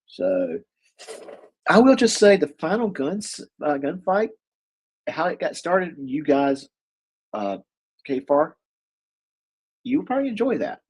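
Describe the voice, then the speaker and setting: A man with a husky voice, co-hosting a casual chat about movies, rambling with lots of fillers and restarts. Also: a little high, very animated, slow, pauses often, a little mellow, low-quality recording. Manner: rambling, many fillers and restarts, chuckles often. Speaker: co-host.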